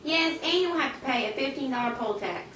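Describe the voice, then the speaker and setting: A woman's voice: sits high, lively intonation, a little fast, a bit bright, voice pushed down. A woman voicing a condescending voter registration clerk in a puppet show, officious and patronizing. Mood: smug, dismissive. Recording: roomy, distant, noisy amateur recording.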